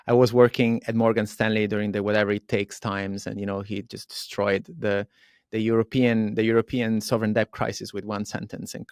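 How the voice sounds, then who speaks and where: A man with an Italian accent, a company founder speaking frankly as a podcast guest, pausing on frequent fillers. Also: on the low side, no echo, clean studio sound. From Italy. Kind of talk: podcast interview.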